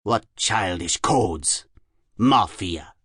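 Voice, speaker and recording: A man voicing a sneering, taunting psychoanalyst with a deliberate, incantatory manner in an audiobook. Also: very high-pitched, animated, sharp tone, no room sound, studio quality, pitched above the performer's own voice, heavily acted. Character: sneering, taunting psychoanalyst, mad mystical chanter. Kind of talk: dramatised audiobook character acting.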